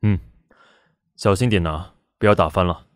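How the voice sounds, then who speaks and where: An actor playing a sly, teasing pastry chef father who speaks calmly in a low murmur. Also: normal speed, a little hiss or hum, lightly acted. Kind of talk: BL audio drama acting. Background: music.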